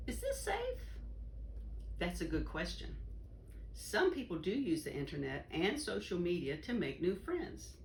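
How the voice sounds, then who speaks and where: A woman reading a children's educational book aloud in a deliberate, instructive way. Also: on the low side, wildly swooping pitch, creaky. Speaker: volunteer story reader. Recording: roomy echo, faint background noise.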